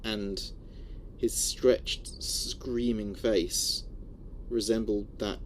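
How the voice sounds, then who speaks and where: A man's voice: on the low side, fairly even intonation, extremely slow, slight background noise. A man playing an anxious, brooding spaceship communications officer reading his log in a scripted sci-fi horror audio drama. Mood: uneasy, reflective. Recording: music under voice.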